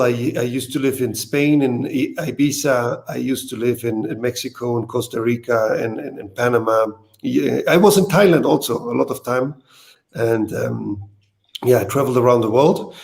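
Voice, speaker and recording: A man from East Germany, a native German speaker talking with a German accent, who speaks in a halting way, repeats words and uses non-native phrasing as a guest on a video call. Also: medium pitch, gravelly, a little mellow. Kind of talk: casual interview talk.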